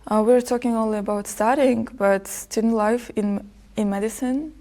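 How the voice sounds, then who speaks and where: A young woman, a student, talking thoughtfully on a podcast with slow, hesitant phrasing. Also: on the high side, steady tone, mellow. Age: young adult. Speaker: podcast guest. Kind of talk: casual conversation. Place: podcast studio.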